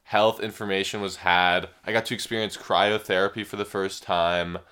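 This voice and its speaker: A man co-hosting a comedic health podcast, stuffy from a cold, making enthusiastic deadpan claims. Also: low-pitched, moderate pace, smooth. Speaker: podcast co-host.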